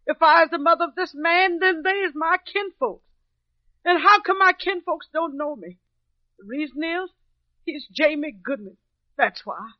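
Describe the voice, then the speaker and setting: Defiant high-voiced country mother. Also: animated, moderate pace, no room sound. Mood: defiant, insistent. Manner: folksy, ungrammatical, insistent rhetorical questions. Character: defiant plainspoken mother testifying in court for her son. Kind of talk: 1950s radio drama acting. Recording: dull old radio recording.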